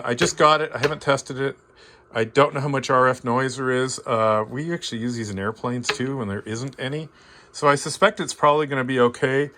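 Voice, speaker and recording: A man informally showing his amateur radio gear, thinking aloud in a halting way as he explains it. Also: medium pitch, a bit bright. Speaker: amateur radio hobbyist presenting his equipment. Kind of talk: informal show-and-tell demonstration.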